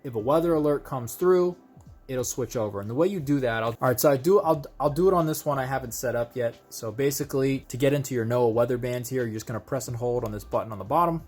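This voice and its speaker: A man's voice: medium pitch, quick pace, darkish tone, faint music behind. A man giving a casual, conversational hands-on product demonstration, explaining things step by step as a video host.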